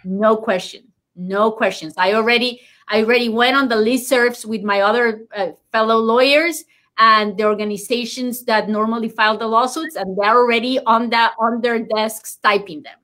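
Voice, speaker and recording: A woman's voice: fairly high pitch, steady tone, normal speed, fairly bright tone. A woman immigration lawyer, a native Spanish speaker with a Spanish accent, explaining legal news in an interview emphatically and reassuringly, repeating key points.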